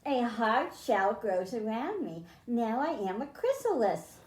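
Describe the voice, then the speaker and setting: A woman's voice: a little high, sing-song, measured pace, a little mellow. A woman hosting a children's storytime, reading aloud and explaining science simply to young listeners. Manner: simple explanations addressed to children, with questions to them. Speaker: children's librarian and storytime host. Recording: roomy, echoing indoor sound.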